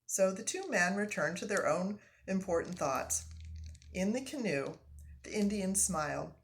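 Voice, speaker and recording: A woman reading a children's story aloud live, expressive and explanatory, with casual asides to listeners. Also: medium pitch, measured pace.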